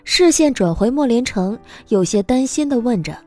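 A woman reading scripted audiobook narration as an expressive storyteller. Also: fairly high pitch, moderate pace, soft background music.

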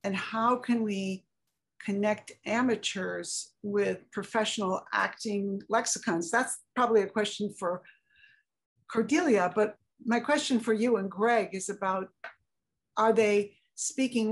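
An older woman moderating an online panel from her home office, posing questions to the panelists. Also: mid-range voice. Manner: inquisitive, poses questions to panelists. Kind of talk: panel discussion on a video call. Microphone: webcam on a video call.

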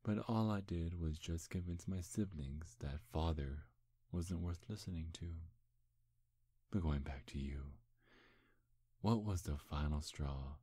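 A man as a very deep, seductive demon. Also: no echo, clean studio sound. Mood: smug, teasing. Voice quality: breathy, husky. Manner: teasing, hushed, hypnotic, slow and deliberate. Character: seductive, smug male demon posing as a handsome gentleman. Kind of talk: ASMR roleplay, intimate slow acting.

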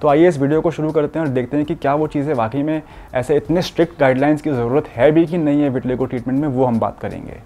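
Mellow-voiced young male doctor explaining. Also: a little high, quick pace, hardly any pauses, a little hiss or hum. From India. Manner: earnest, explanatory, mixes English medical terms into speech. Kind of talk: explanatory health talk to camera. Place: furnished studio room with curtains, indoors.

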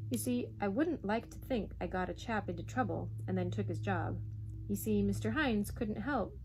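A woman voicing a humble, halting Yorkshire working man in a storybook reading. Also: mid-range voice, a little mellow, slight background noise, close to an everyday voice, rough recording. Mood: reluctant. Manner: fumbling, halting, dialect speech.

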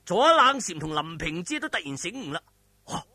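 Male storyteller, Hong Kong Cantonese, highish and lively, emphatic theatrical delivery of martial arts tales. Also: brisk, dry recording. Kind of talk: dramatic wuxia audiobook narration.

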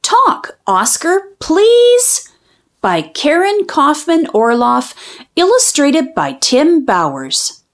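A woman reading a children's picture book aloud in an expressive, sing-song storytelling manner, drawing out the rhyming lines. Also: high-pitched, extremely slow.

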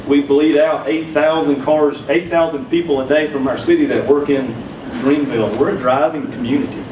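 A man with a Southern drawl tells folksy stories at a conference keynote, his voice a little high for a man. He talks into a handheld mic in a meeting room, caught from far back so it sounds roomy and distant. A steady hum of room noise sits underneath. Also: moderate pace. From South Carolina. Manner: folksy storytelling with humor. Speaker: keynote speaker. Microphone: handheld mic, recorded from far back.

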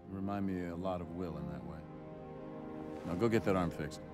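A man's voice: low-pitched, brisk, lightly acted. An actor playing a fatherly, authoritative older military company boss in a video game, assured and paternal. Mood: fatherly, earnest. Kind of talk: cinematic video game acting. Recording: music behind the voice.

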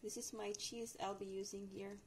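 A young Filipina woman with an Ilonggo accent, narrating her cooking in slow, hesitant English, often saying "guys". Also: mid-range voice, flat delivery, a little hiss or hum. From Philippines. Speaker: cooking vlogger. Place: home kitchen by a gas stove.

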